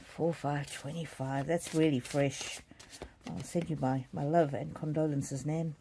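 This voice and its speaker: A woman hosting a live psychic card reading, chatting casually and reading out comments in a gentle, musing, hesitant way with soft repetitions. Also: deep voice, fairly even intonation, measured pace, slight background noise.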